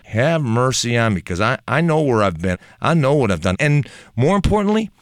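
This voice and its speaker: A man whose first language is Turkish, a ministry leader speaking earnestly and with self-deprecating humor in a relaxed conversation about faith. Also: mid-range voice, normal speed, no echo, clean studio sound. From Turkey. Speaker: ministry leader, podcast guest.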